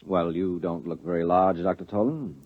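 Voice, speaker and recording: An actor playing a wry, probing government official in a 1950s radio drama, with small hesitant pauses. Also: male voice, low voice. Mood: dry, curious. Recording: tinny, narrow 1950s radio recording.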